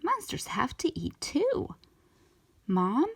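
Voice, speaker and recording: A woman voicing an eager, anxious young girl in a children's storybook, excitable and earnest. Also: sits high, slow, gravelly, slightly rough, pitched above the performer's own voice, full character voice. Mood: curious, eager.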